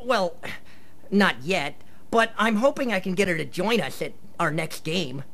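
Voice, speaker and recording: A man's voice: high voice, animated, unhurried, gravelly, fairly bright tone, heavily acted. An actor playing a nerdy teenage boy in a radio play, earnest and defensive, hesitant and wordy. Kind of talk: live radio play acting.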